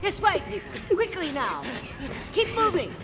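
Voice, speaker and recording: Commanding older woman, swooping pitch. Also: sing-song, slow pace, noisy background, exaggerated voice. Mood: urgent, commanding. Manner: brusque, bossy. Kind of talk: video game voice acting.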